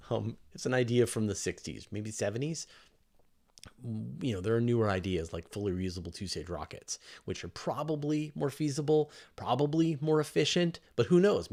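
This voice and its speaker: A man hosting a live question-and-answer stream, talking casually and thinking aloud as he enthusiastically explains things. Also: medium pitch. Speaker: host of a space Q&A livestream.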